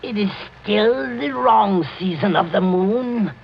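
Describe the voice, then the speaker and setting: An actor playing a grave old doctor in a 1940s radio mystery, with a croaky voice and a solemn, warning manner. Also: male voice, sits high, slow pace, heavily acted. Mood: grave, foreboding. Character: ominous old grey-bearded doctor, grave family friend. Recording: muffled, noisy 1940s radio recording.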